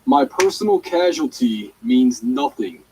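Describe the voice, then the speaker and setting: A man's voice: medium pitch, wildly swooping pitch, a little slow, gravelly, a little mellow, slight background noise, rough recording. A man reading a written document aloud in the manner of an audiobook reader.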